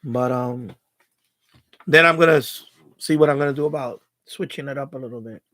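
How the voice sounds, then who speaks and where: A man's voice: medium pitch, normal speed, a bit bright. A man hosting a live stream in casual, rambling, unfiltered chat with his viewers. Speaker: livestream host.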